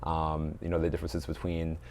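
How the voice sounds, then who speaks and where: A man's voice: a bass voice, completely flat delivery, a little fast, creaky, a little mellow, slight background noise. A man giving thoughtful, reflective interview answers as a coach, feeling his way through his phrasing. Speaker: basketball coach, interview guest.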